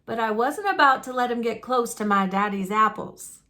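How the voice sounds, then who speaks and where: A woman reading a children's picture book aloud as a storyteller, in an expressive, folksy and dramatic manner. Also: fairly high pitch. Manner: folksy, dramatic, deliberate delivery.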